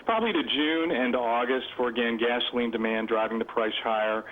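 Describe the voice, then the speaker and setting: A man's voice: medium pitch, brisk, slight background noise. Man on a tinny phone line. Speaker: commodities analyst guest. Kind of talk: live market interview. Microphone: telephone.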